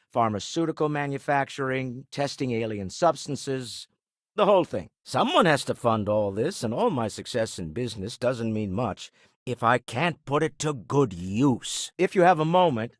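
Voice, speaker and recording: An older man playing a wealthy, pragmatic businessman in a video game, speaking in an articulate, wry, measured way. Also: medium pitch, expressive, no room sound, studio quality, natural voice. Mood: composed, urbane. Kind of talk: video game character dialogue.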